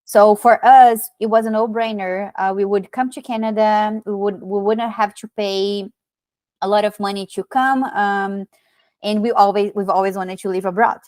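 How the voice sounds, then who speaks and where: A woman in her thirties from Brazil with a Brazilian Portuguese accent, a podcast guest telling her story in casual, animated chat. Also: mid-range voice, normal speed, dry recording. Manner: many fillers and restarts, animated storytelling.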